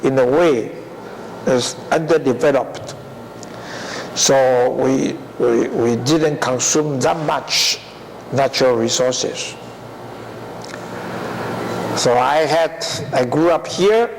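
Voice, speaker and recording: An older man gives a university lecture in a deliberate way, often asking the class rhetorical questions. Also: mid-range voice, a little hiss or hum, poor audio. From Taiwan.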